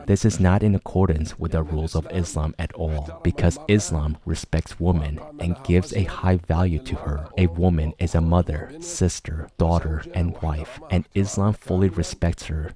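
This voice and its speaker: A man with a low, deep voice delivers a translated religious talk as a scripted voice-over. His delivery is formal and measured. Other voices can clearly be heard behind him.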